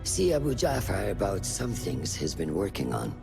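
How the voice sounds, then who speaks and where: A world-weary assassin mentor from a historical game. The voice is very deep and creaky, with wide swings in pitch, and gravely issues orders. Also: male voice, wildly swooping pitch, normal speed, over music, exaggerated voice. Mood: grave, commanding. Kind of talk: video game cutscene acting.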